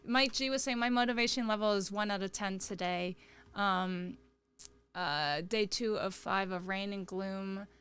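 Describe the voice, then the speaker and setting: A woman's voice: mid-range voice, a little slow, fairly bright tone, smooth. A woman co-hosting a casual livestream, chatting and reading out viewer comments.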